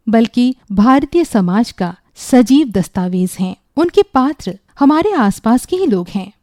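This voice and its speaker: A woman announces on radio from a script, in formal literary phrasing. Her voice is fairly high and mellow, recorded dry and close. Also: no room sound.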